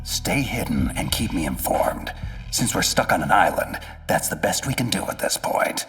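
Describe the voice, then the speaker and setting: An actor playing a gruff, cynical infiltration soldier with terse, sardonic delivery in a video game drama. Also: male voice, deep voice, sing-song, normal speed, fairly bright tone, recorded from a distance, faint music behind. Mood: dry, businesslike. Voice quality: gravelly, breathy undertone.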